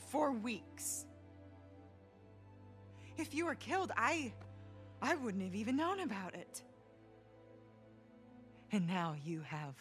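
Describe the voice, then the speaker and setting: An actress playing a sharp, hurt, no-nonsense American woman in her thirties, in cinematic video game drama. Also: on the high side, full character voice. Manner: pointed, controlled, sardonic. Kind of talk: naturalistic cinematic game dialogue. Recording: music under the dialogue.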